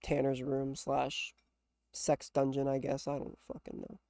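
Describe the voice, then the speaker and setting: A man's voice: medium pitch, monotone, unhurried, vocal fry, dark tone, no room sound. Swearing young man in casual chat. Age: 20s. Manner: loose, swears a lot. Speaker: podcast co-host.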